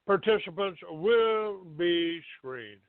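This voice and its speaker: Slow, halting man on a phone-like line. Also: sits high, lively intonation. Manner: halting, grumbling, blunt with swearing. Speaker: talk show host. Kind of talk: rambling monologue, reading out a written notice. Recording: thin phone-like band, roomy echo.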